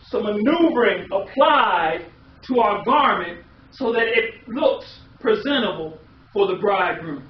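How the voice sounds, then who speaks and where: A middle-aged American man preaching a sermon in an emphatic way, with repeated phrases and rhetorical questions. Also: high-pitched. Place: small indoor room. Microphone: distant camera microphone. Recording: echoey, muffled, distant camera audio with hiss.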